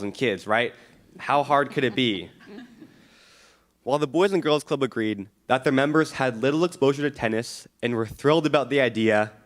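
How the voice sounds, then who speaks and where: Earnest teenage boy giving a speech. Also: medium pitch. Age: teens. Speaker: student speaker. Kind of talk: prepared speech. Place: school board meeting room.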